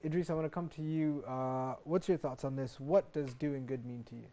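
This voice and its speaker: A man's voice: medium pitch, fairly even intonation, mellow. A man hosting a studio panel discussion, welcoming guests and moving the talk along. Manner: brisk, welcoming, fillers like "uh". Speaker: talk show host.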